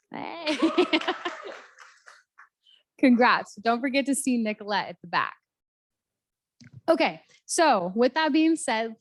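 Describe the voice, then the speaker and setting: Woman, panel host, higher-pitched voice, dry sound, running the event from the front. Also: no room sound. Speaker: event moderator. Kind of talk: hosting a panel and raffle.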